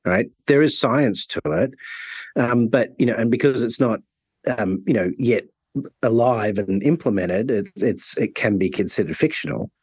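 A man co-hosting a podcast while he has a cold, speaking haltingly with many restarts and fillers. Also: lowish voice, darkish tone, slightly rough, dry recording. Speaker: podcast co-host. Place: Chicago.

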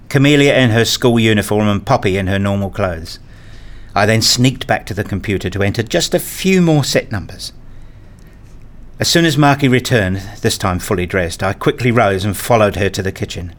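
Guy narrating an audio drama, lowish voice, measured and understated, kinda literary. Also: animated. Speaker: narrator and lead character, a father. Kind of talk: scripted first-person radio play narration.

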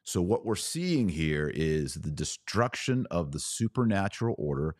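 A man hosting a religious podcast, preaching in an earnest, emphatic way with deliberate, broken-up phrasing. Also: sits low, sing-song, dry recording, studio quality. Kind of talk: preachy video commentary.

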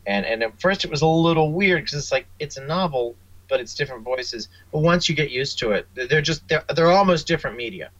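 A man speaking as a writer guest in a casual interview, halting and repeating words. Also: fairly high pitch, lively intonation, fairly bright tone, no echo, highs cut off.

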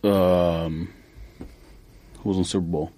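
A man co-hosting a podcast, debating football fast and insistently in casual talk. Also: deep voice, a little hiss or hum. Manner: animated, argues fast with stats. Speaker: podcast co-host.

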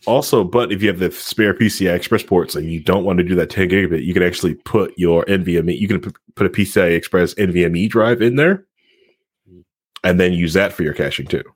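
A man's voice: low-pitched, quick pace, husky, no echo. A man hosting a tech talk show in casual banter, rambling and jokey, often laughing.

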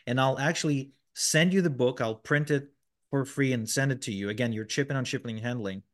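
A man's voice: mid-range voice, fairly quick. Man explaining at length, many fillers. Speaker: podcast guest. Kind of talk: conversational interview answers.

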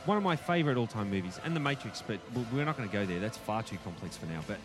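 A man's voice: fairly low pitch, lively intonation, rapid-fire, over music. A man co-hosting a casual film review podcast, talking in a thoughtful, rambling, hedging way. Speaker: podcast co-host reviewing films. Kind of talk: casual movie review chat.

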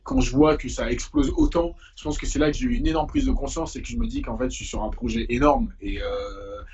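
A man's voice: mid-range voice, steady tone, brisk, darkish tone, slightly rough, highs cut off. A young man from Paris, speaking as an interviewed musician in an informal, slangy, hesitant way. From Paris, France. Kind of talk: casual conversational interview.

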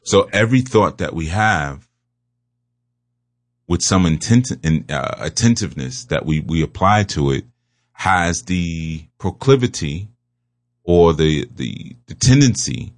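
A man giving a spiritual teaching talk, speaking slowly and emphatically and repeating his key phrases. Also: sits low, lively intonation, no room sound.

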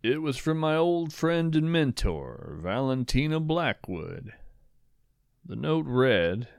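A man's voice: mid-range voice, sing-song, takes it slowly, creaky, smooth, no echo, studio quality. A man hosting a storytelling podcast with scripted, poetic narration, musing and savoring his words.

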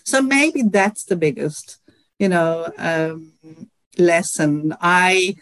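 A woman's voice: fairly low pitch, takes it slowly, dry recording. Middle-aged Dutch woman, dry sound. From Netherlands. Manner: earnest, reflective, many "you know" fillers. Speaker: guest, engineering professor. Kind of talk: radio interview answers.

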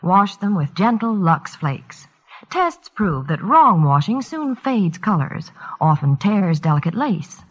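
Very animated vintage radio ad woman. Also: on the low side, slow pace, breathy edge. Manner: scripted sales delivery. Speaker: commercial announcer. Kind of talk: 1940s-50s radio ad read. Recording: dull old radio broadcast.

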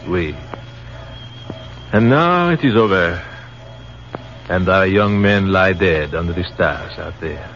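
Gracious, worldly French captain with a French accent for vintage radio drama; melodic, expressive delivery. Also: male voice, low voice, slow, pauses often, heavily acted. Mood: solemn. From France. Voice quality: courtly, philosophical, sprinkles French words. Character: courtly, wry French officer, man, worldly and gracious. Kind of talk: 1940s radio acting. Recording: dull old radio broadcast recording with faint hiss.

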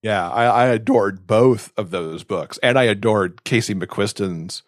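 A man's voice: lowish voice, a little slow, dry recording, studio quality. A man hosting a podcast, talking casually and enthusiastically about books. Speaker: podcast host. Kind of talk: casual book chat on a podcast.

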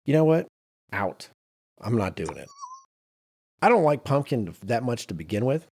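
Animated man, slightly husky, swinging pitch. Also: normal speed. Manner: animated anecdote telling with mimicked quotes. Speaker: podcast co-host. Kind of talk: casual banter.

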